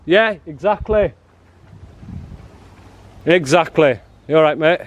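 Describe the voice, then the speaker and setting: A man filming outside a prison, talking loudly and bluntly to the officers and to his viewers. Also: on the high side, slow, highs cut off. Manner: loud, blunt and challenging, calls people "love" and "mate". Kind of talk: confrontational street filming with commentary to viewers.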